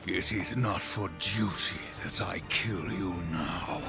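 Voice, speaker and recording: A man's voice: deep voice, takes it slowly, vocal fry, over loud music, heavily acted. An actor playing a grim, stoic horseman of the apocalypse in a video game, speaking solemnly and tersely. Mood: grim resolve. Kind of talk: video game cutscene acting.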